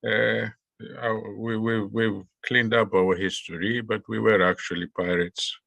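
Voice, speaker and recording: A man from Greece hosting a book club interview, hesitant with many pauses and restarts. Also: on the low side, flat delivery, gravelly, a bit bright, no echo. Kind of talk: interview questions.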